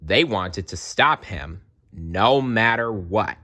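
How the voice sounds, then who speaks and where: Low, slow, expressive children's storyteller. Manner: dramatic pauses, playful asides to listeners. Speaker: storyteller reading aloud. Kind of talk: expressive children's read-aloud narration.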